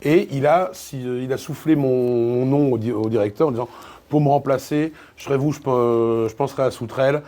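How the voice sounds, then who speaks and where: A man in a casual interview as a voice actor and dubbing director, telling anecdotes haltingly and repeating words as he goes. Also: mid-range voice, dark tone. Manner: hesitant, repeats words, acts out quoted exchanges.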